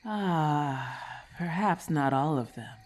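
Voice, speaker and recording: A woman voicing a haughty, menacing female lamia in an ASMR roleplay, with a husky, breathy voice and formal, condescending diction. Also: low voice, expressive, slow pace. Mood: scornful, predatory. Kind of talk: ASMR roleplay, breathy half-whispered acting.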